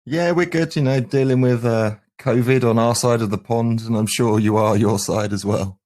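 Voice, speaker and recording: A man's voice: mid-range voice, fairly even intonation, normal speed, darkish tone. An English man in his forties, a musician guest reminiscing casually in a hesitant, rambling way. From England. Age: 40s. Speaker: band frontman, interview guest.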